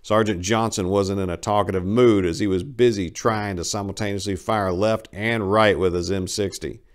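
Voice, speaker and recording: A man's voice: lowish voice, fairly even intonation, normal speed. A man narrating war fiction as a dramatic story reading.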